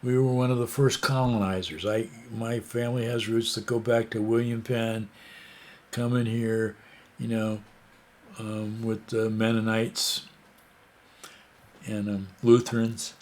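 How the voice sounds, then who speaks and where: A man's voice: lowish voice, little pitch movement, unhurried, darkish tone. A man from the United States giving a casual, rambling opinion monologue, hesitant and full of fillers and restarts.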